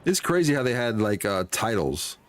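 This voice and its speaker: A man's voice: lowish voice, sing-song, moderate pace, faint music behind. A man giving casual, slangy, joking commentary while playing through a game and reading dialogue aloud. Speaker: game streamer.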